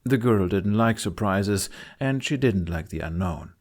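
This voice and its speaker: Male audiobook narrator, lowish pitch, scripted fantasy storytelling, dramatic reading. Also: expressive, a little slow.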